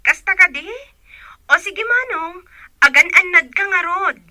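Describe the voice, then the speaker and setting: A woman's voice: very high-pitched, animated, measured pace, bright, forceful tone, slight background noise, heavily acted. A woman with a Filipino accent playing a younger sibling talking to an older brother in a radio drama. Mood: eager, caring.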